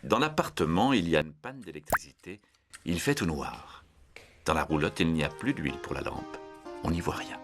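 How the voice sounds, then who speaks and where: A male storyteller with a low voice and animated melody. He reads a children's tale in measured, simple sentences, with music clearly playing underneath. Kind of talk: scripted children's story narration.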